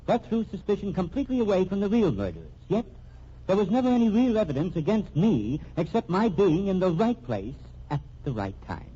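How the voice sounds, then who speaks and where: An actor playing an earnest accused man dictating a formal, deliberate statement in a vintage radio mystery, husky-voiced. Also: high-pitched, big pitch swings, warm tone, full character voice. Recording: muffled old radio recording.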